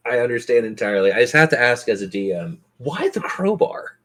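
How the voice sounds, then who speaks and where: A man's voice: mid-range voice, very animated, a little fast, a bit bright. A man acting as game master, narrating and bantering in a theatrical, courteous way.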